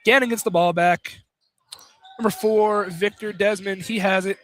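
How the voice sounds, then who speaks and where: High-voiced man calling live basketball. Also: steady tone, normal speed, a bit bright, faint music behind. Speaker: play-by-play basketball commentator. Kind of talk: live radio sports commentary. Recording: noisy live gym broadcast.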